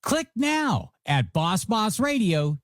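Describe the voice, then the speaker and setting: A man working as a music radio DJ, giving showy announcer patter with drawn-out phrase endings and playful, wry asides. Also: high voice, sing-song, no room sound, clean studio sound. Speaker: radio DJ and host. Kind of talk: music radio DJ patter, announcing songs and reading light news stories. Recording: radio broadcast with music underneath. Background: music bed.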